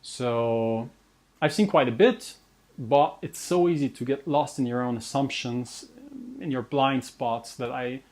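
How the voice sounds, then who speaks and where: A man's voice: mid-range voice, darkish tone. Austrian man introducing his video series. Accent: Austrian German. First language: German. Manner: earnest, self-deprecating, with frequent restarts. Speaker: host and researcher. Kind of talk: scripted personal introduction to camera.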